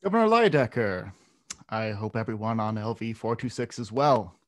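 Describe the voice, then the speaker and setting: A man's voice: fairly low pitch, expressive, natural voice. A man playing a businesslike corporate team lead in his thirties delivering formal messages in a screenplay table read. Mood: official, businesslike. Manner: formal, measured, official.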